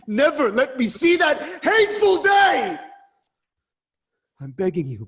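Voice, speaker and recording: A man's voice: a little high, husky, full character voice. An actor playing an anguished king who confesses a killing and curses himself, in a dramatic reading over a video call. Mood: desperate. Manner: swings from hushed dread to loud anguish.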